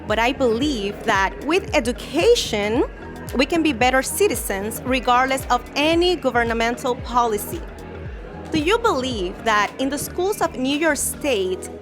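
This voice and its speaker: A young woman from the Republic, a panelist earnestly reading a prepared question. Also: high-pitched. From Dominican Republic.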